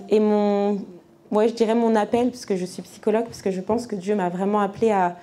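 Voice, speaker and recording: Mellow-voiced woman giving a testimony. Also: mid-range voice. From France. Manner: conversational, many fillers and restarts. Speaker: psychologist giving a church testimony. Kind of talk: personal testimony to a church audience.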